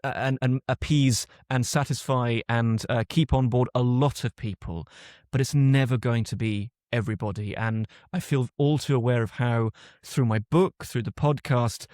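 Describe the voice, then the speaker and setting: British man, guest author, reflective and measured, mid-pitched, recorded dry and close. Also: steady tone, darkish tone, no echo. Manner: thoughtful, reflective, often restarts sentences. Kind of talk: conversational interview answers.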